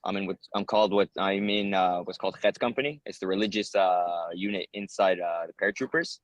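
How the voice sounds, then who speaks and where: Young American guy, low and quick, earnest interviewee full of fillers. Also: fairly even intonation, dry recording, lo-fi sound. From United States. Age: young adult. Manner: earnest, rambling, many ums and uhs. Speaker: interviewee, soldier. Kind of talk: casual interview answers.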